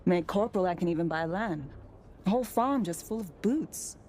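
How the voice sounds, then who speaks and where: A woman's voice: lowish voice, lively intonation, moderate pace, darkish tone, a little hiss or hum, natural voice. An actress playing a determined, teasing teenage girl thief in a video game, with dry, casual retorts. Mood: earnest, teasing.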